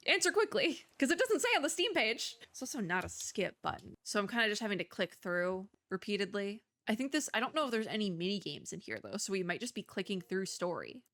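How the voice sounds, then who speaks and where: A woman's voice: mid-range voice, a bit bright. A woman streamer giving casual, opinionated commentary as she plays a game, rambling in exasperated asides. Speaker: video game streamer, host. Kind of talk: casual gaming commentary while playing a demo.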